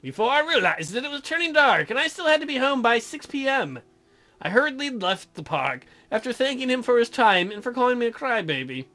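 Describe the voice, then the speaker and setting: A man's voice: extremely high pitch, pushed and bright. A man hosting a let's play, mixing sarcastic, mocking asides with read-aloud narration of a visual novel.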